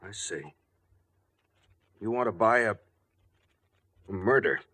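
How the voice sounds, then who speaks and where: An actor playing a nervous young drugstore clerk, desperate and hesitant, in a 1940s radio mystery. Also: male voice, on the low side, animated, takes it slowly, bright, forceful tone, exaggerated voice. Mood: nervous, tempted. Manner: jumpy, hesitant. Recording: noisy old radio recording with clicks.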